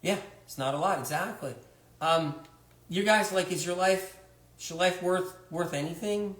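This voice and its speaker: Emphatic high-voiced man, livestreaming. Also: normal speed, a bit bright, reverberant. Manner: emphatic, repetitive, rhetorical questions. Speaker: health author hosting a livestream. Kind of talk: livestream monologue to viewers.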